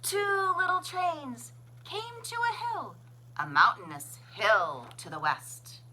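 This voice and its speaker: Lady doing a kids book read-aloud, slow and expressive, highish voice, bit of background noise. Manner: expressive, sing-song, deliberate phrasing. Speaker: librarian reading a picture book aloud. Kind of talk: children's storybook read-aloud.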